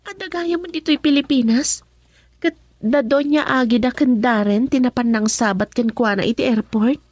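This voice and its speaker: A woman's voice: on the high side, normal speed, darkish tone, dry recording, lightly acted. A woman acting an anxious young daughter in love in a radio drama, plaintive, with a Filipino accent. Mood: uneasy.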